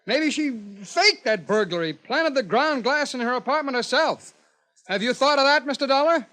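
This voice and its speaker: An actor with an American accent playing an evasive, defensive, petulant suspect in a 1950s radio drama, stammering and easily made indignant. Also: male voice, very high voice, expressive, normal speed, pushed and bright, heavily acted. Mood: indignant, agitated.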